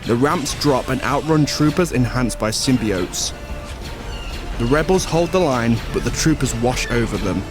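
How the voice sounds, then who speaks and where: A man reads scripted narration for a space adventure audio drama. His voice sits at an average male pitch, with clearly audible music behind it. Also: animated, measured pace. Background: loud music.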